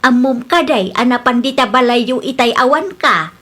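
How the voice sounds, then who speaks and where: A bright-voiced gossipy neighbor. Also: female voice, fairly high pitch, moderate pace. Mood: confiding. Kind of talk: radio drama acting.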